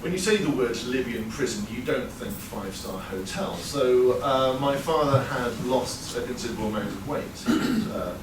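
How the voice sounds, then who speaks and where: A man's voice: medium pitch, animated, moderate pace, hardly any pauses, noisy background. Man telling a wry story in a roomy live venue. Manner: conversational, wry, many fillers. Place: performance venue before an audience. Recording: roomy live sound, mic far off.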